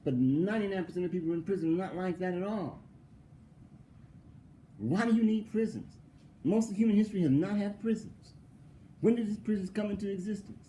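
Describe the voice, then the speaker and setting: A man's voice: high-pitched, brisk, long pauses, dark tone, slight background noise, rough recording. A male philosophy professor answering an interviewer in a conversational way. He asks mocking rhetorical questions, laughs often and repeats points for stress.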